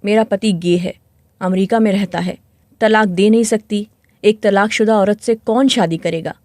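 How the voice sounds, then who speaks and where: A woman's voice: medium pitch, fairly even intonation, moderate pace, darkish tone, no room sound, studio quality, natural voice. A woman voicing a discontented married client in her thirties in a dramatized story. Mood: plaintive. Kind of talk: dramatized story dialogue in Hindi.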